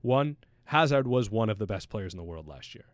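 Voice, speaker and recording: A man's voice: on the low side, expressive, brisk, no echo. A man chatting casually about sports as a pundit, in a slangy, anecdotal way. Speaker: soccer pundit on a talk show.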